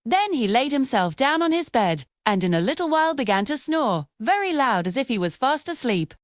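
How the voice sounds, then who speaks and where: High, animated woman storyteller. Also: normal speed, dry recording, studio quality. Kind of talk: scripted fairy-tale narration.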